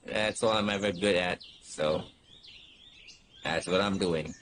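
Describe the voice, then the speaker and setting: A man's voice: sits low, slow, fairly bright tone, a little hiss or hum. A middle-aged man chatting casually and wryly as he streams a game, rambling along. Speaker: gaming streamer. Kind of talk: casual chat over gameplay.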